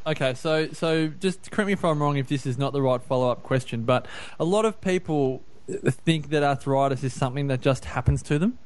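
A male podcast host with a mid-range voice asks long, rambling questions. He teases his guest in a relaxed, chatty way. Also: medium pitch, a little fast, hardly any pauses. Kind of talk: casual interview chat.

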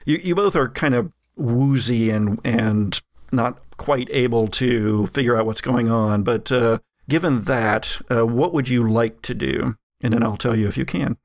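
A man narrating a tabletop game as game master in a casual, improvised, halting way. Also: medium pitch, no echo, studio quality. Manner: halting, searching for words.

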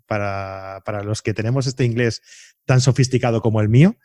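A man's voice: fairly low pitch, fairly quick, a little mellow, no room sound, studio quality. A man hosting a photography podcast. He is jokey and hesitant and laughs often. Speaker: podcast host. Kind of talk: casual interview chat.

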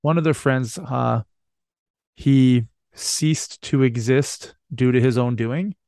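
A man's voice: medium pitch, slow pace, creaky, darkish tone, no echo, clean studio sound. A man hosting a podcast in casual chat, rambling and joking, with a casual swear now and then. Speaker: podcast host.

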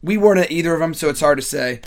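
Hoarse young guy hosting a wrestling podcast, kinda high voice, rambles a lot. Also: racing. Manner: excitable, rambling. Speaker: podcast host. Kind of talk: casual wrestling podcast chat.

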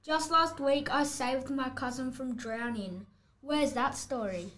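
A schoolboy of about eleven voicing a vain, indignant storybook wolf who protests and cuts in, in a scripted audiobook style. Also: male voice, very high-pitched, takes it slowly, fairly bright tone, exaggerated voice. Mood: boastful, huffy. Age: child, about 11 to 12. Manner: protesting, exclamatory, interrupting the narrator. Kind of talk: scripted picture-book audiobook acting.

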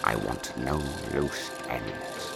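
A man playing a shrewd, commanding Victorian gentleman detective in a fiction podcast, speaking in a formal, ornate, deliberate way. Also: extremely low pitch, creaky, loud music behind the voice, exaggerated voice. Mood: cold, commanding.

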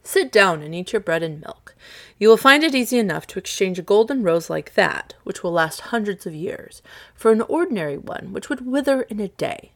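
A woman's voice: medium pitch, animated, moderate pace, natural voice. A woman voicing a doting storybook king and father, speaking in a coaxing, old-fashioned, grand way. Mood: coaxing, dismissive.

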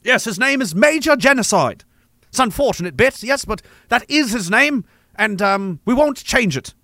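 A man's voice: extremely high pitch, expressive, moderate pace, bright, forceful tone, dry recording, studio quality, heavily acted. A man voicing a smug, cruel British imperial officer with a plummy, haughty, formal manner. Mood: smug, regretful.

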